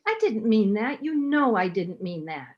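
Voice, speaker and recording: A woman playing a frail, devoted invalid wife, gentle and anxiously pleading, in 1930s radio drama style. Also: mid-range voice, big pitch swings, moderate pace, lo-fi sound, natural voice. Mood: wounded pleading.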